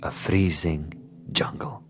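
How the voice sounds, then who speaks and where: An actor playing a suave, menacing Soviet commissar in a radio drama, polished, theatrical and mockingly polite. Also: male voice, sits low, takes it slowly, whispered. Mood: sly needling. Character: suave, menacing Soviet commissar, a self-styled artist of death.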